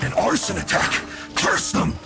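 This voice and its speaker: A man playing a fierce soldier shouting to rally comrades in a video game battle. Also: measured pace, loud music behind the voice, natural voice. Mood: outraged, rallying.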